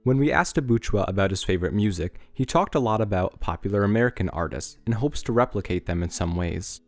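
A man with a fairly low voice reads scripted podcast narration in a measured, even way. Quiet guitar music sits underneath his voice. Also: no echo. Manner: measured, even read delivery.

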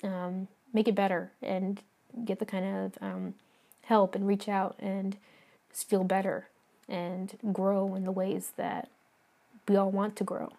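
A woman with a hoarse voice talks casually on her podcast and reads her own poem. Her pitch stays level and her tone is mellow and dark. She speaks softly, pausing often and restarting her sentences. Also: little pitch movement. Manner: soft, halting, with many fillers and restarts. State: hoarse from singing.

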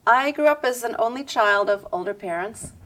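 A woman answering interview questions in a measured, reflective way, with soft "um" fillers. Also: a little high, lively intonation, low-quality recording. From United States. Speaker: interview guest.

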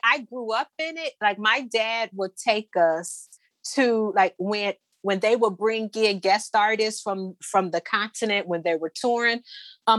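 A woman from Detroit, an urban planner telling lively stories as a guest in a casual conversation. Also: on the high side, no room sound. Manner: lively storytelling, many fillers.

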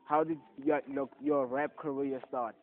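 A man's voice: medium pitch, steady tone, a little slow, slight background noise. A young man hosting a casual interview, hyped and loose, repeating "yes, sir" and "my guy". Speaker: podcast host. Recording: tinny phone-like audio.

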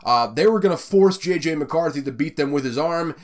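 A man's voice: fairly high pitch, lively intonation, brisk. A man with a Southern American accent hosting a casual college football rundown, rambling and conversational with plenty of fillers. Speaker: college football podcast host. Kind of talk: casual sports commentary.

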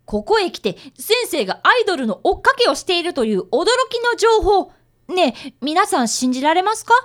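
A woman's voice: very high voice, very animated, moderate pace. A woman playing an earnest, very polite schoolboy apprentice detective who narrates a radio drama. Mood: astonished. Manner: very polite, formal speech.

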